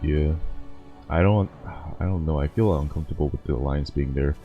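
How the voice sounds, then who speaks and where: A man's voice: a bass voice, brisk, narrow, tinny audio, faint music behind. A man giving casual, hesitant reaction commentary to game cinematics, often repeating words as he thinks aloud.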